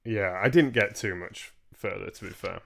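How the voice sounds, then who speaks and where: A man's voice: lowish voice, moderate pace, gravelly, a bit bright. A man in his twenties chatting casually as he hosts a live-streamed book reading from a room at home. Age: 20s. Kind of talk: casual livestream chat and reading aloud. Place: small home room, indoors.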